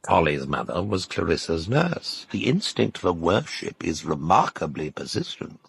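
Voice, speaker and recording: An actor playing an urbane, cynical literary critic in an audio drama, speaking in a dry, articulate, knowing way. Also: male voice, low voice, sing-song, normal speed, creaky, breathy edge, dry recording, full character voice. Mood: probing, philosophical. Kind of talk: naturalistic audio drama dialogue.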